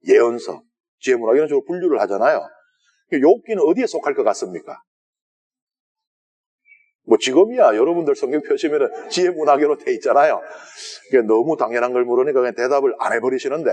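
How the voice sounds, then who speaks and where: A man with a Gyeongsang Korean accent lectures on scripture as a priest in a church sanctuary. His pitch sits mid-range for a man. He talks in a chatty, conversational way and keeps turning questions to the listeners. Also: animated, a little fast, darkish tone. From South Korea. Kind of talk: lenten Bible lecture to a congregation.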